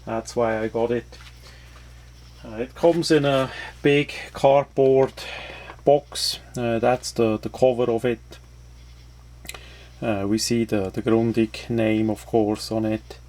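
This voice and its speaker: Slow, flat-toned man reviewing a product. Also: medium pitch. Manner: halting, hesitant phrasing. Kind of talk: casual unscripted product walkthrough.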